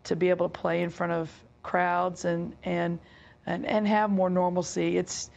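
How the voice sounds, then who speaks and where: A middle-aged woman basketball coach with a hoarse, raspy voice, answering interview questions over a video call with many halting fillers. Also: on the low side, flat delivery, a little mellow. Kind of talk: remote press interview. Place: office with team logo backdrop.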